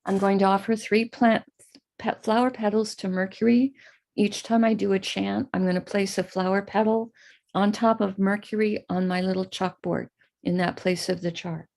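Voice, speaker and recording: An American woman teacher leading a live online prayer ritual over a video call, speaking calmly and instructively between slow chanted invocations. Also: medium pitch, vocal fry.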